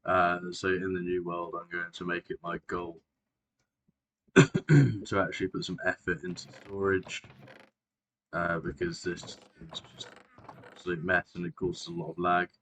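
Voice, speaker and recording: A man. He rambles, corrects himself and pauses on fillers, with a faint hiss of noise under the voice. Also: sits low, fairly even intonation, fairly bright tone, poor audio. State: sore throat, clearing throat and coughing. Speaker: Minecraft video creator. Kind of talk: casual gameplay narration.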